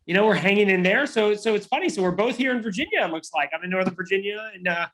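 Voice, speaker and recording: The quick, fairly high-voiced man you would hear hosting a chatty online interview show over morning coffee. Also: fairly bright tone.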